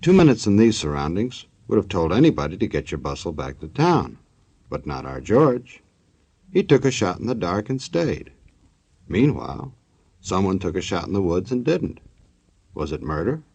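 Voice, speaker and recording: A man's voice: deep voice, very animated, moderate pace, a little mellow, breathy edge, full character voice. An actor playing a sardonic, wisecracking male narrator in a 1940s radio show. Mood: sardonic, theatrical.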